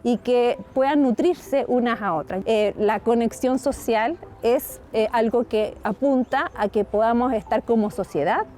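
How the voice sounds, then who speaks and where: A woman's voice: fairly high pitch, measured pace, few pauses, mellow. A woman speaking in an interview in a reflective way with fillers. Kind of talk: interview explanation.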